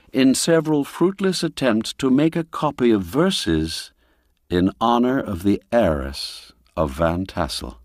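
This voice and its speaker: A man narrating a classic literary tale in a measured, dramatic storytelling manner, phrase by phrase. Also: on the low side, no echo, clean studio sound. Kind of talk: scripted literary narration, audiobook reading.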